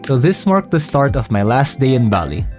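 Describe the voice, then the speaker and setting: Lively young man narrating over music. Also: medium pitch, normal speed. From Philippines. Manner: playful, joking asides. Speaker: travel vlogger.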